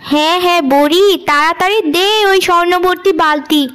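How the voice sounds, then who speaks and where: A woman playing a greedy, rude young village sister, the villain of a cartoon moral tale, shrill and demanding. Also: steady tone, a little fast, a bit bright. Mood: threatening. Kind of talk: cartoon moral story acting.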